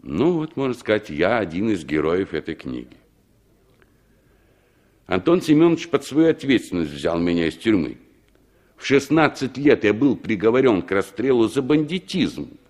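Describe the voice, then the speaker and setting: An actor playing an earnest reformed gang leader recounting his life in measured phrases in a 1960s radio play. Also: on the low side, big pitch swings, darkish tone.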